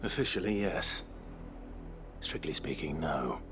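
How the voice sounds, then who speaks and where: A brooding noble fugitive in a fantasy video game. His voice sits extremely low, and he speaks tersely with grim resolve. Music plays behind him. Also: expressive, a little slow, a bit bright, exaggerated voice. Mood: guarded, terse. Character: grim, determined fugitive young nobleman warrior. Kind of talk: cinematic fantasy game acting.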